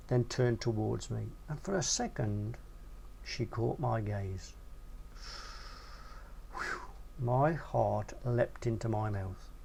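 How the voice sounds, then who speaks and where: A man reading his own crude first-person short story in a casual, slangy way. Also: gravelly. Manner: crude, casual narration with animated swings in pitch.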